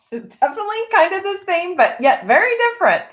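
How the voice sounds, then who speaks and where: A woman reading a picture book aloud to children in an expressive way, with chatty, teacherly asides to her listeners. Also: very high-pitched, a little fast. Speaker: storybook reader for children.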